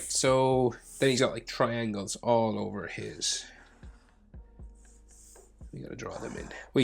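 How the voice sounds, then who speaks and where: A man with a head cold, narrating a step-by-step drawing tutorial, muttering quietly to himself and drifting into whispers. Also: mid-range voice, gravelly. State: congested with a cold. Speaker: art tutor.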